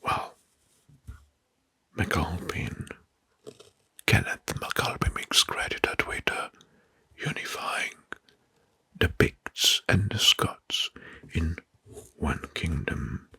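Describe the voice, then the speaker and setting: A man narrating history as an ASMR host in a breathy whisper, slowly and deliberately, repeating words, close to the mic. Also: extremely low pitch, bright, forceful tone. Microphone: close microphone.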